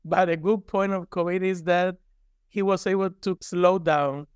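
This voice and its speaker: A man's voice: high voice, fairly even intonation, a little slow, clean tone, dry recording. A man from Cuba with a Cuban accent and native Spanish, speaking candidly and conversationally as an interview guest. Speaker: guest, university professor.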